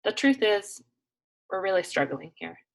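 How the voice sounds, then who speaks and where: A woman voicing an anxious, proud immigrant mother in a children's storybook, expressive and insistent as she keeps up a brave front. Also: medium pitch, measured pace. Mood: deflated.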